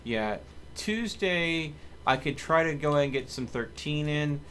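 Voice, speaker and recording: A man's voice: on the high side, expressive, a little slow, fairly bright tone, slight background noise. A man chatting casually as a game streamer, with playful, joking asides. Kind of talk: casual gaming livestream chat.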